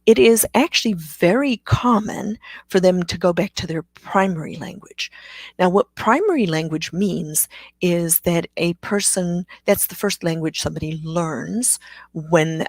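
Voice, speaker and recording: Low-voiced woman. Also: measured pace, no echo. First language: Afrikaans. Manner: chatty, self-deprecating, with sarcastic asides. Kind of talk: live-streamed Q&A talk.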